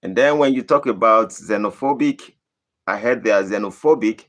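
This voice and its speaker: Nigerian man, low voice, deliberate, emphatic, live-stream host. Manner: deliberate, emphatic, rising to high emphatic bursts. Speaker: channel host. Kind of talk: live stream answering viewer questions.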